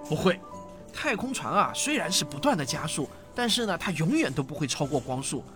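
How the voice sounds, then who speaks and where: A man playing a patient middle-aged father who gently explains things to his daughter in a sci-fi audio drama. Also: fairly high pitch, big pitch swings. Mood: patient, explanatory. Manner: didactic, gentle, drawn-out particles. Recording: music behind the voice.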